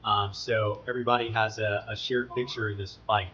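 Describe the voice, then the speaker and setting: A man's voice: fairly low pitch, level tone, moderate pace, pushed and bright, dull audio, slight background noise. A man speaking as a military pilot, recounting his work in an interview with plenty of jargon.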